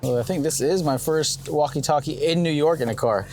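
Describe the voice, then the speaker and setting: A man's voice: on the high side, fairly quick, a little mellow. A man asking casual, conversational questions as the host of an interview on the move. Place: on location in a city, car and street. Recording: clear street and vehicle noise.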